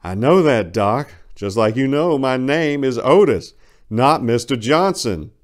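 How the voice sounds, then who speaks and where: Man playing a wry, animated veteran patient. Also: medium pitch, measured pace, voice pushed up, close to an everyday voice. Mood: pointed. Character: easygoing, sardonic big male Vietnam veteran psychiatric patient.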